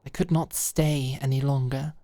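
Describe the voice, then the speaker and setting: A woman's voice: rumbling low, fairly even intonation, normal speed, dark tone, no echo. Woman acting an earnest, courtly young nobleman, dry close sound. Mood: pleading, earnest. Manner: courtly, hesitant, deferential. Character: earnest, polite young nobleman pleading as a captive. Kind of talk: medieval roleplay audio drama acting.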